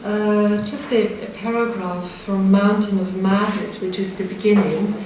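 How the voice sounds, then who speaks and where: A woman's voice: medium pitch, little pitch movement, measured pace, a little mellow. A woman moderating a literary event, asking long winding questions full of hesitations. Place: literature house event hall. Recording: echoey hall from far off, noisy.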